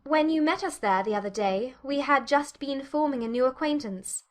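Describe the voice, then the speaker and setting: Woman acting a spirited young regency lady, high voice, arch and teasing, audiobook drama. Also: moderate pace, close to an everyday voice. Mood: pointed, emphatic.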